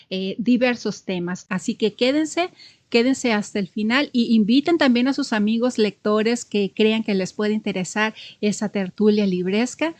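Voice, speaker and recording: A woman hosting and moderating a live panel of writers, speaking in a clear, upbeat, instructive way. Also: a little high, darkish tone, dry recording. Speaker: host, moderator.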